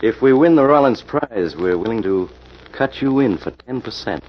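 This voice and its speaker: An actor playing a glib, dandyish promoter with a wheedling manner in an old radio mystery. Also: male voice, medium pitch, lively intonation, moderate pace, close to an everyday voice. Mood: smooth, persuading. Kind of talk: 1940s radio mystery acting. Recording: muffled, noisy old radio recording.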